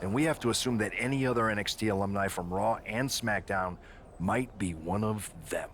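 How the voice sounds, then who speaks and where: An actor playing an earnest, decisive wrestling show boss in a video game, measured and businesslike. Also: male voice, lowish voice, fairly bright tone, a little hiss or hum, lightly acted. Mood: concerned, businesslike. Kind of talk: scripted video game cut-scene dialogue.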